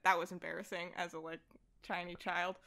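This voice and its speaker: A woman's voice: lowish voice, little pitch movement, brisk, vocal fry, pushed and bright. A woman chatting casually and enthusiastically as a guest on a podcast. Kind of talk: casual chat.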